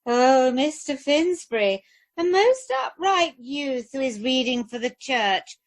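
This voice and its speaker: A woman acts out a haughty Edwardian lady guest in a radio play. She gossips formally and archly, in a high, lively, swooping voice, recorded dry and close. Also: slow, dry recording. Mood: arch, inquisitive. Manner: arch, formal, theatrical. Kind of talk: amateur radio play acting.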